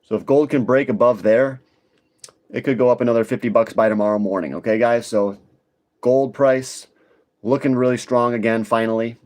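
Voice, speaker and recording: A man talks casually to his livestream viewers about markets. His voice sits at an average pitch, and his delivery is informal and halting, as if thinking aloud. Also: steady tone, a little mellow. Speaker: livestream host, retail stock commentator. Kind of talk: casual live market commentary.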